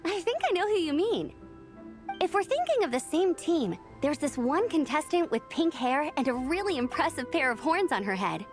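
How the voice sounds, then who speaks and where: Young female knight: chatty, eager, soft music behind. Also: high-pitched, sing-song, normal speed. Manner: chatty and upbeat. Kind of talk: game voice acting.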